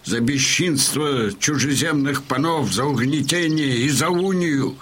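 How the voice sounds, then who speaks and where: A man's voice: medium pitch, fairly bright tone, a little hiss or hum, close to an everyday voice. An actor playing an old Cossack in a radio play, telling war news to his crony in a folksy, emphatic way. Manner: folksy, emphatic, unhurried storytelling. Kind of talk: Russian audio drama acting.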